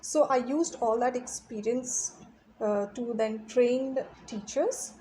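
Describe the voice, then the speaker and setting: Middle-aged woman, slightly high voice; earnest, explanatory interview guest who pauses to search for words. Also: warm tone, a little hiss or hum. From Pakistan. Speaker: guest, English teacher and trainer. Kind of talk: interview answers.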